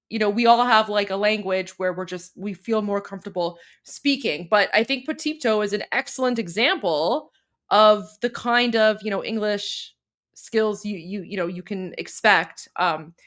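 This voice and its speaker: A woman's voice: mid-range voice, animated, normal speed, fairly bright tone. A woman hosting a casual livestream, chatty and full of fillers, Persian her mother tongue but speaking fluent English. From Canada. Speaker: livestream host.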